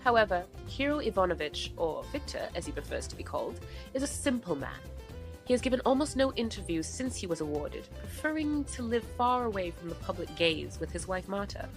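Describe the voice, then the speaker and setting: Composed, lively-pitched female radio host. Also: brisk, music in the background. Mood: formal, admiring. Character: polished, curious female radio host of a propaganda show. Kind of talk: scripted radio interview in a drama.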